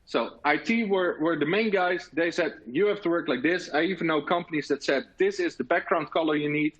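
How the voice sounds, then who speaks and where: A man's voice: a little high, fairly quick, a bit bright, highs cut off. Dutch-accented man presenting over a call. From Netherlands. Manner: earnest, many filler sounds and restarts. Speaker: conference presenter. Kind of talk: virtual conference talk.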